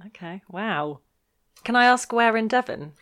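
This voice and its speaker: A woman's voice: a little high, animated, unhurried, a bit bright. A woman chatting casually as a podcast co-host in a small homemade studio.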